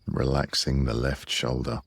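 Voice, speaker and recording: A man leading a guided relaxation meditation in a soothing, unhurried way with long pauses, close on the microphone. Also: a bass voice, flat delivery.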